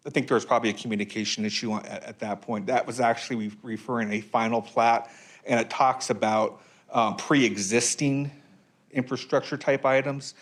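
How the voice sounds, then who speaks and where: A man's voice: medium pitch, steady tone, fairly quick. A man giving public comment at a council meeting, hesitant, with frequent ums and restarts.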